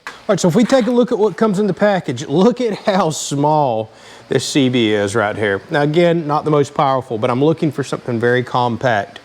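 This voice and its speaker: Male DIY host, voice a little on the high side, casual and explanatory, plain-spoken tutorial delivery. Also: a little fast. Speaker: host of a DIY vehicle channel. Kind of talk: casual hands-on tutorial narration.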